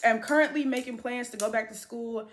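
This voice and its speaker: A woman's voice: fairly high pitch, fairly even intonation, brisk, slight background noise. A woman in her twenties talking casually to camera in an upbeat, chatty way, with lots of filler words. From United States. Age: 20s. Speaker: beauty vlogger.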